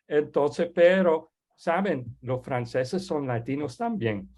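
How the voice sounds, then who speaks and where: A man whose first language is French, hosting an online webinar and introducing a guest speaker in a halting, casual way with many restarts. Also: fairly high pitch, animated, darkish tone. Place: online video call.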